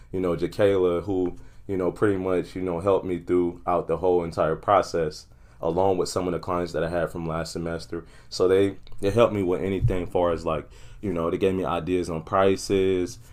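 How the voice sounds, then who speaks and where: Low voiced guy, podcast guest, chatty business owner talking casual, lots of you knows. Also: a little mellow. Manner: talkative, repeats "you know". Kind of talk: casual conversational interview.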